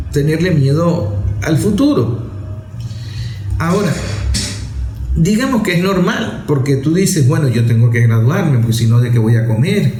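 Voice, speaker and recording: A man lectures in a fairly high voice, turning to the listener with everyday examples. He sounds like a commentator making his case. The room gives his voice a clear echo. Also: darkish tone. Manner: didactic, addresses listener directly with examples. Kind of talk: explanatory monologue.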